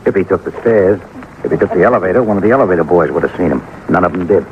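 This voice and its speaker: A man's voice: sits low, expressive, racing. An American man playing a shrewd, persistent police sergeant in an old-time radio detective drama, speaking in a terse, businesslike way. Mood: businesslike, intent. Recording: tinny, noisy old radio recording.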